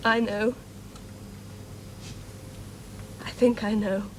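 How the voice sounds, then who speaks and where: A woman's voice: a little high, little pitch movement, normal speed, natural voice. An actress playing a fragile, frightened female scientist, speaking hesitantly in a 1970s television drama style. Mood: shaken, uneasy. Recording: hissy old television soundtrack.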